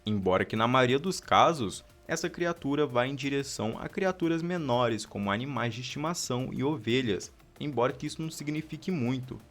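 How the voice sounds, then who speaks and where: A man's voice: medium pitch, lively intonation, fairly quick, few pauses, a bit bright. Brazilian man narrating over music. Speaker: video narrator. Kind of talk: scripted horror explainer narration with casual asides.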